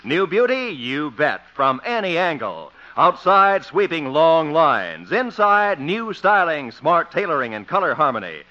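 A man's voice: fairly high pitch, sing-song, measured pace, hardly any pauses, pushed and bright. A male radio announcer delivering scripted ads and narration in a punchy, polished, emphatic 1950s style. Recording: dull vintage 1950s radio recording.

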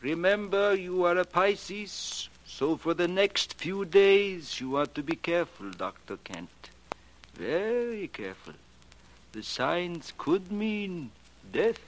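A man's voice: high-pitched, expressive, slow pace. A man playing a humble, earnest foreign janitor who believes in astrology, speaking formal English in a 1940s radio drama. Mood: ominous warning. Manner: formal uncontracted English with ominous pronouncements. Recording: noisy vintage 1940s radio recording.

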